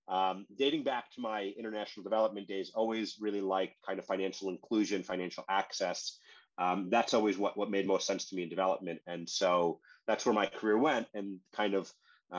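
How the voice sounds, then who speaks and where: An American man, a startup co-founder, recounting his career in a relaxed, conversational interview manner. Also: low voice, lo-fi sound. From United States. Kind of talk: podcast interview.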